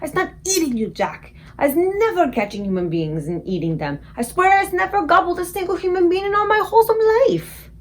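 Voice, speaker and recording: A woman's voice: mid-range voice, very animated, takes it slowly. A woman voicing a huge, terrified male giant who shrieks and pleads in mangled grammar. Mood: terrified shrieking.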